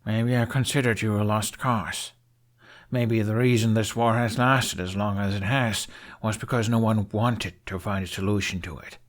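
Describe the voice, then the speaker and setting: A man's voice: fairly low pitch, fairly even intonation, moderate pace, natural voice. A man voicing a stubborn, blunt young Viking outcast in an audiobook, terse and argumentative in a matter-of-fact way. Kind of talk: audiobook character acting.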